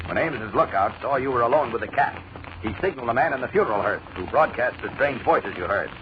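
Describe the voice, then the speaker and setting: A man's voice: mid-range voice, animated, fast, lightly acted. An actor playing a bold, commanding, stern male superhero in a 1940s radio drama. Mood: reassuring. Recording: muffled, noisy vintage radio recording.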